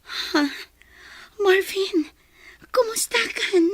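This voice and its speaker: A woman with an Ilocano accent playing a heartbroken, naive woman in love in a radio drama, plaintive and soft-hearted. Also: very high-pitched, extremely slow, dry recording, heavily acted. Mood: eager welcoming. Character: lovesick, heartbroken, naive woman clinging to a man.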